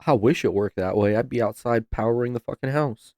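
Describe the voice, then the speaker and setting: A man's voice: mid-range voice, brisk. A man hosting a reaction video, talking casually with loose swearing and laughs. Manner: laid-back, swears freely, laughs.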